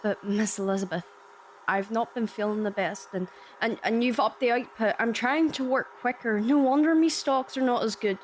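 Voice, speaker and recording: A woman's voice: a little high, normal speed, a little hiss or hum, lightly acted. A woman playing a meek, sickly young Victorian match girl in an audio drama, hesitant and deferential. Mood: anxious. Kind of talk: fan audio drama acting.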